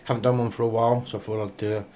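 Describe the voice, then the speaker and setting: Male hobbyist vlogger, lowish voice, loose and hesitant show-and-tell delivery, caught on a handheld camera mic with light noise behind. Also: little pitch movement, rapid-fire, mellow. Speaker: amateur radio operator filming shack tour. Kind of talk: casual handheld video tour, ad-lib commentary. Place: small indoor radio room. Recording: noisy handheld camera sound.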